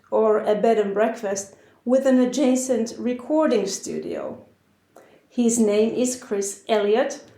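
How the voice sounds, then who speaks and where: A woman's voice: fairly high pitch, warm tone. A woman with a Finnish accent hosting a podcast, introducing the episode and chatting with a guest in her home. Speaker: podcast host. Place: her house. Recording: roomy echo.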